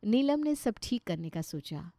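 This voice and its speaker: A woman's voice: mid-range voice, big pitch swings, unhurried, dark tone, no echo, studio quality. A woman storyteller reading scripted narration of a moral tale.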